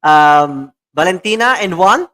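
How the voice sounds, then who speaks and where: A man's voice: high-pitched, lively intonation, unhurried, a bit bright, rough recording. A man hosting an online talk show, enthusiastic and repetitive, with many fillers. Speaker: show host.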